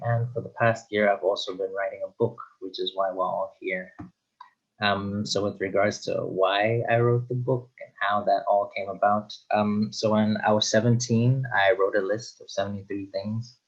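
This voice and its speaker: Low flat-voiced young man. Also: highs cut off. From Zimbabwe. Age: young adult. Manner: thoughtful, with frequent ums and uhs. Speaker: guest author. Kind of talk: interview answers.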